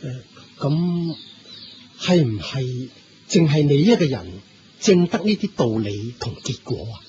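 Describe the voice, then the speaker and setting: An actor with a Hong Kong Cantonese accent playing an earnest, curious wayfarer in a radio drama, with a wondering, deferential manner. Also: male voice, medium pitch, animated, measured pace, dark tone, slight background noise, natural voice. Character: reverent, curious male wayfarer, earnest and admiring. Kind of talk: Buddhist radio drama acting. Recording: muffled lo-fi radio recording with music.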